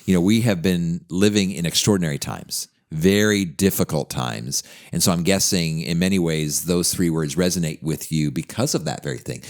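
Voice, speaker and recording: A man hosting a podcast in a studio, speaking in earnest, measured phrases. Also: sits low. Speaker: podcast host. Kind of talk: podcast introduction and interview.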